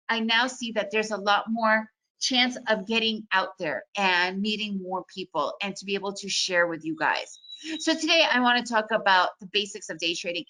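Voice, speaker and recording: A woman raised in the United States with Russian as her first language, giving a chatty, energetic webinar talk on trading. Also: a little high, rough recording. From Soviet Union, raised in the United States. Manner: energetic, chatty, addresses audience as guys. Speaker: trading educator presenting a webinar. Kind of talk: casual webinar presentation.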